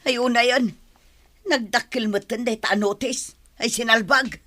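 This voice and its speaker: A woman's voice: a little high, steady tone, a little slow, pushed and bright, a little hiss or hum. A woman playing an older, incredulous mother who grumbles about money in a radio drama. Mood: exasperated. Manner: complaining, incredulous. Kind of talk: Ilocano radio drama acting.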